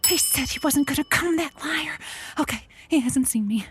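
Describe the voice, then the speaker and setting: A woman's voice: high-pitched, normal speed, background noise, close to an everyday voice. A woman playing an anxious, sarcastic librarian who mutters to herself in a comic audio drama. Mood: nervous, hushed. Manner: talks to herself.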